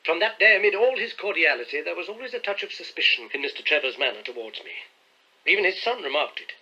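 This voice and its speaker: A man narrating an audiobook in a measured, crisp storytelling manner. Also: high-pitched, sing-song, sharp tone. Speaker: audiobook reader. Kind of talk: scripted narration. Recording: dull lo-fi audiobook recording.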